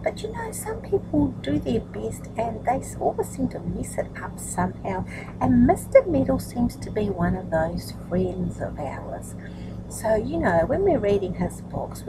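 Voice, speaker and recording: A woman's voice: mid-range voice, noisy background. An older woman talking to young children in a nurturing, grandmotherly way, as a storyteller reading books aloud. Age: older, grandmother's age. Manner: nurturing, grandmotherly, asks tag questions. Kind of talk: chatty address to young children, then read-aloud storytelling.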